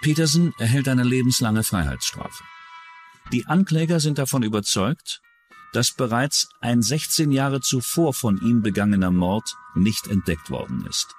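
Male documentary narrator, medium pitch, animated delivery, scripted true-crime read. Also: normal speed, faint music behind.

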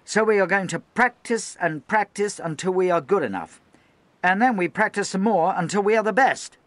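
A man voicing a young, anxious naval lieutenant who barks shrill, clipped orders. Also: a little fast, a bit bright, no echo. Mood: shrill commanding.

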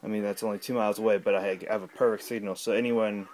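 A man giving a casual, unscripted gadget demonstration at home, talking in a halting, rambling way. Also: lowish voice, steady tone, darkish tone. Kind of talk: casual unscripted product demo. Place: small room at home. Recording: clear background noise with clicks.

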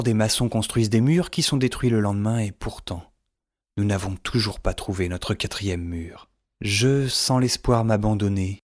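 A man playing the melodramatic narrator-hero of a comic science-fiction radio drama, with a husky voice. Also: fairly low pitch, close to an everyday voice.

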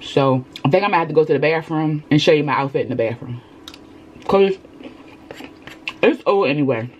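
A woman's voice: low voice, fairly quick, a little mellow, muffled recording, slight background noise. A middle-aged woman vlogger chatting casually and fussing as she gets ready, filmed at close range in a home studio. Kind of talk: casual vlog chat. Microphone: camera at close range.

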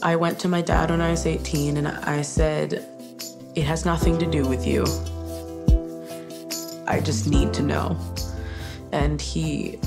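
Low, creaky young woman telling her story. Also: flat delivery, unhurried, pauses often. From Maine, United States. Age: young adult. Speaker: contestant telling own story. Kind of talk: reflective personal interview. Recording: guitar music under interview.

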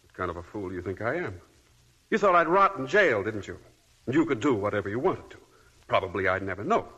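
An actor playing a bitter escaped convict husband in a 1940s radio drama, mocking and sardonic. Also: male voice, on the low side, sing-song, fairly quick, bright, forceful tone, husky, full character voice. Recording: muffled old radio recording.

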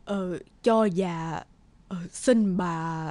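An actress playing a humble old beggar woman in an animated folk tale, speaking slowly and haltingly. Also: on the low side, very noisy. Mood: weak pleading. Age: older. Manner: slow, pleading, halting. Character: frail, humble old beggar woman, secretly wise spirit.

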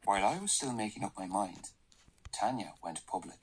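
A man's voice: on the low side, very animated, normal speed, slightly rough, poor audio. An actor in a radio drama playing a wry, idealistic male employee turned whistleblower, speaking in a sardonic, conversational way. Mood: wry narrating. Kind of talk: radio drama acting and first-person narration. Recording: faint noise, played back through a speaker.

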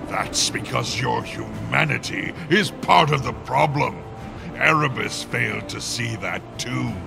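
An actor playing a menacing, arrogant monstrous villain in a video game, gravelly and condescending. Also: male voice, mid-range voice, lively intonation, unhurried, over music. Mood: grandiose, scornful.

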